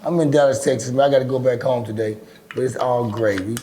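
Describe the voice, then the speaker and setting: A middle-aged man with a deep, raspy voice, talking bluntly and with lots of swearing as an interview guest. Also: fast, a little hiss or hum. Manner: blunt, profane, animated storytelling. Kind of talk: casual interview talk.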